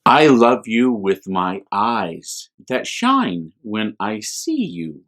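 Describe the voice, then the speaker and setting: A man's voice: fairly low pitch, sing-song, extremely slow, a bit bright, heavily acted. A man voicing a loving mother polar bear in a children's storybook, affectionate and reassuring. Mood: tender.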